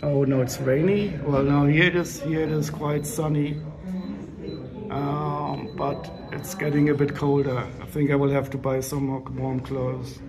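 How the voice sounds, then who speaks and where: A man with a raspy voice and a sore throat, chatting casually with viewers on a live travel stream from a lookout tower. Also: fairly high pitch, little pitch movement, a little slow, a crowd in the background, low-quality recording. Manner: chatty, answering viewers while touring. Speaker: livestreamer. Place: outdoors on a tower lookout above a city.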